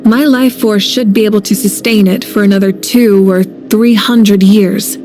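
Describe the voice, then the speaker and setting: An actress playing a resolute, composed warrior leader in a video game, speaking earnestly. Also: female voice, mid-range voice, fairly even intonation, measured pace, darkish tone, faint music behind, close to an everyday voice. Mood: calm conviction. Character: resolute warrior archon woman, calm, self-sacrificing leader. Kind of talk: video game cutscene acting.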